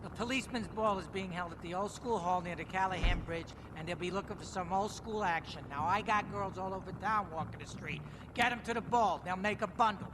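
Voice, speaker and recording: A man's voice: sits high, brisk, lightly acted. A man playing a sleazy, slangy mobster handing out jobs in a crime video game. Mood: sly, businesslike. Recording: noisy game cutscene audio.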